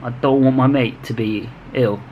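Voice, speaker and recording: British guy in his 20s rambling to camera like a vlog, normal pitch, slangy, echoey room. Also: fairly even intonation, normal speed, lo-fi sound, slight background noise. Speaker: vlogger. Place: small indoor room with bare walls. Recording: echoey, roomy sound.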